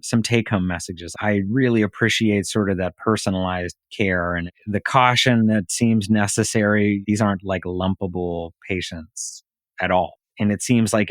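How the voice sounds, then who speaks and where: A man's voice: lowish voice, no room sound. A man hosting an interview podcast, speaking in a conversational way to his guests. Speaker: podcast host.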